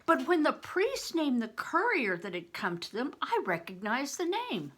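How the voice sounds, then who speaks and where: Woman as an anxious boy, bright and urgent. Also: high voice, sing-song, normal speed, husky, voice pushed up, exaggerated voice. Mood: alarmed, urgent. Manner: urgent, questioning. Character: anxious, earnest, wide-eyed orphan boy on the run.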